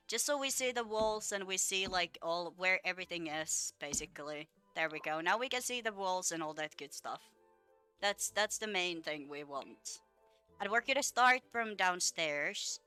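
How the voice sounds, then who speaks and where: A woman's voice: on the low side, bright, forceful tone, clear, resonant tone. A young woman who is a little sick, casually chatting and rambling with lots of fillers while building in a game. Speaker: gaming video creator. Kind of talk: casual gameplay commentary.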